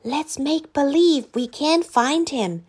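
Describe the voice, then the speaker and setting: A woman reading a storybook, voicing an eager young boy in a high, earnest way. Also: animated, slow, a little mellow.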